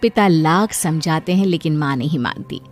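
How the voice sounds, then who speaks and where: A woman's voice: deep voice, moderate pace, faint music behind. A woman reading scripted narration for a moral story.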